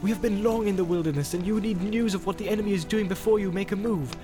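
Man acting a proud, impassioned warrior, pitched high. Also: wildly swooping pitch, moderate pace, over music. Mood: urging. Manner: archaic, impassioned declamation. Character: tall proud warrior man, covetous, wheedling, raging.

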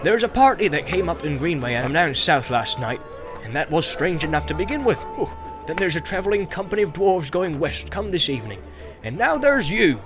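A man's voice: on the high side, big pitch swings, fairly quick. A man voicing a bustling, talkative, forgetful stout male innkeeper who chatters on in a flustered rush. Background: music.

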